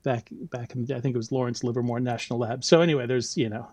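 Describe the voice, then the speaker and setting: A man's voice: medium pitch, fairly even intonation, fast, a little mellow, dry recording. Man, American accent, middle-aged, chatty and explanatory, dry close sound. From United States. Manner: explanatory, with frequent ums and laughs. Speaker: guest, biology lecturer. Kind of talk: casual interview talk.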